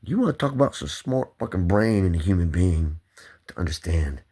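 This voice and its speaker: A man's voice: low-pitched, big pitch swings, brisk, dark tone, breathy edge. A man talking to the camera in a rambling, swearing monologue that jumps between topics.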